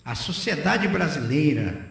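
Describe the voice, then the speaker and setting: A man's voice: a little high, animated, a little slow, gravelly, a bit bright. An older man, giving his opinions in conversational radio talk and repeating phrases for emphasis. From Northeast Brazil. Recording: very echoey room.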